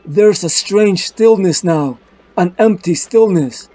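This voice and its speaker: A man's voice: high voice, takes it slowly, mellow, low-quality recording. A man voicing Batman as a grave, driven detective hero in a solemn, melodramatic comic-book dub. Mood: brooding. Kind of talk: dramatic comic-book dub with inner monologue. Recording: music bed under the voice.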